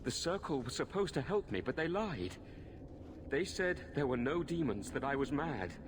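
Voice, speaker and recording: A man playing a tormented, pitiful killer in a video game, halting and anguished as he pleads. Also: medium pitch, big pitch swings, background noise, close to an everyday voice. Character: tormented, self-loathing male killer, magistrate's son, pitiful. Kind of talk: video game dramatic acting.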